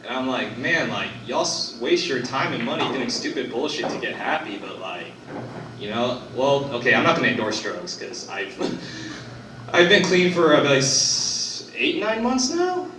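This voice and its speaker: A man's voice: medium pitch, sing-song, unhurried. A young man from Korea performing a first-time stand-up set, talking loosely with lots of fillers and swearing. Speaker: stand-up comedian. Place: comedy club stage. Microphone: phone recording from the audience. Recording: roomy, distant phone recording with crowd. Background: audience laughter.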